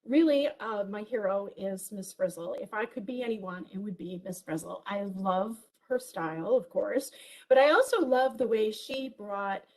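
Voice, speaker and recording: A woman with a big, full voice delivers a keynote over a video call setup. She sounds enthusiastic and lively, with plenty of ums and small asides as she speaks. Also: mid-range voice, normal speed, low-quality recording. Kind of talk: virtual conference keynote.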